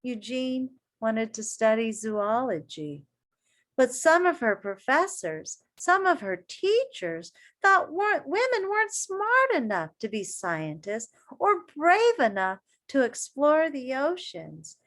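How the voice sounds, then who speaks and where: Slow, higher-pitched woman reading to children. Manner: expressive, deliberate, addresses young listeners directly with questions. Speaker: elementary school teacher reading a picture book aloud. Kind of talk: children's story read-aloud with asides to listeners.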